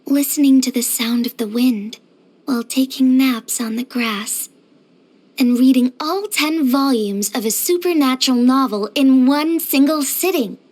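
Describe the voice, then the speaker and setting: A woman playing a cheerful, easygoing young craftswoman in a video game, with a gentle, chirpy, earnest manner. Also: high voice, unhurried. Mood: thoughtful, sincere. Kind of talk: video game character voice acting.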